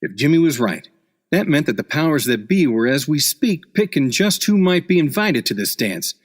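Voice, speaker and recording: A man's voice: a little high, big pitch swings, moderate pace. A man acting a hard-boiled, wisecracking seasoned newspaper reporter in a noir radio play, with clipped, cynical tough-guy slang. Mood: driven, cynical.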